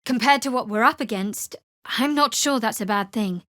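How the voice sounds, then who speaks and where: A young woman cleric devoted to a dark goddess in a fantasy video game. Her voice sits fairly high, with a guarded, wry edge and measured delivery. The sound is very dry and free of room echo. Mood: measured, intimate. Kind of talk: video game character voice lines.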